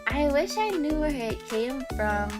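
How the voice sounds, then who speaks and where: High-pitched cutesy woman streamer, unhurried. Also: sing-song. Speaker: livestream host, game streamer. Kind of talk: casual livestream chat. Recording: background music under voice. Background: music.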